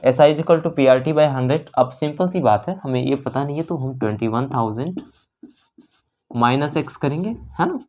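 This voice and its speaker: Indian teacher, mid-pitched voice, exam-prep maths lesson, step-by-step explanation, repeated phrases, English terms mixed in, frequent check-ins. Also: moderate pace, darkish tone.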